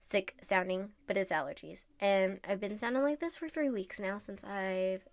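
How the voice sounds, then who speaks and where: A woman's voice: mid-range voice, normal speed, no echo. A woman with a congested, nasal voice from allergies, chatting casually through a nail tutorial with many fillers.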